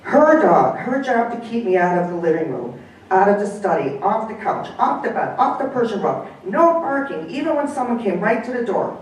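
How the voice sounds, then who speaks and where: A woman's voice: medium pitch, sing-song, normal speed, pitched above the performer's own voice, close to an everyday voice. Woman voicing an indignant old pet dog. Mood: reproachful. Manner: indignant, needling. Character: aging male pet dog, devoted, reproachful. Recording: echoey room, from far off.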